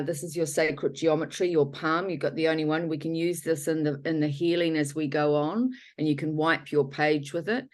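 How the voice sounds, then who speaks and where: A woman speaking as a healer guest, leading a guided healing talk in a rambling, self-correcting way. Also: low voice.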